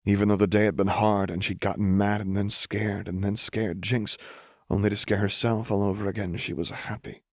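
A male audiobook narrator with a deep, husky voice. He reads fiction softly and gravely, with open emotion. The recording is close and dry, free of room sound. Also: level tone, moderate pace, no room sound.

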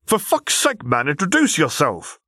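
An exasperated young man played by a male narrator, urgent and protective, barking at his friend in an audio story. His voice is raised from a deep base, with a dry, close sound. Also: very animated, moderate pace, fairly bright tone, dry recording.

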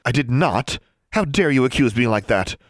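A man's voice: lowish voice, fast, breathy edge, dry recording, studio quality, pitched above the performer's own voice. A man voicing a haughty, spiteful schoolgirl in an audiobook, with a smug, sneering, accusing manner.

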